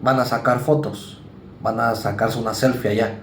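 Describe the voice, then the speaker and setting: A man's voice: medium pitch, fairly even intonation, slight background noise. Mexican man lecturing in an echoey room. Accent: Mexican Spanish. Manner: conversational, acts out students and parents in quick asides. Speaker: university lecturer. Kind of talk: recorded psychology lecture.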